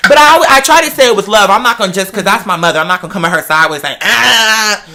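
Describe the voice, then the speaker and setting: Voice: mid-range voice, lively intonation, racing, sharp tone, slight background noise. An American adult chatting casually and telling personal stories, speaking emphatically and repeating phrases for stress. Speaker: talk show host. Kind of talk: casual personal chat, storytelling.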